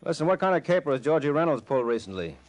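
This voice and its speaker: An actor playing a tough, wisecracking, unflappable private detective in a sardonic, clipped 1940s radio drama style. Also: male voice, fairly high pitch, sing-song, very fast. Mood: brisk. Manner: sardonic, clipped, hard-boiled. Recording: old-time radio recording.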